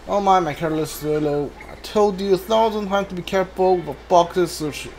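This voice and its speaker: A man giving casual, halting let's play commentary on a video game, musing to himself as he goes. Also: high-pitched, darkish tone, a little hiss or hum, poor audio. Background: video game music.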